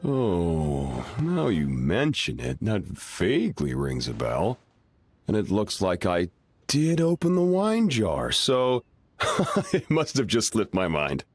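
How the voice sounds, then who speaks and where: Vergeetachtige herbergier. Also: male voice, lowish voice, wildly swooping pitch, takes it slowly, dry recording, heavily acted. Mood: vague. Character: easygoing male innkeeper, vague and forgetful. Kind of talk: game voice acting.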